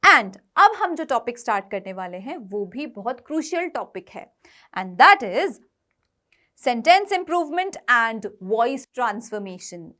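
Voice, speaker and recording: A woman's voice: on the high side, sing-song, takes it slowly, pushed and bright. A woman with an Indian accent teaching an exam-prep lesson in an instructive, emphatic way, repeating points and checking that students follow. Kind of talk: classroom-style lesson, mixing explanation with read-out tips.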